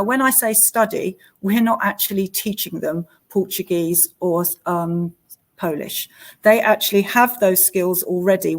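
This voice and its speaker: A woman on a school's staff hosting an options evening, reading out parents' questions and explaining courses with many pauses. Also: lowish voice, darkish tone.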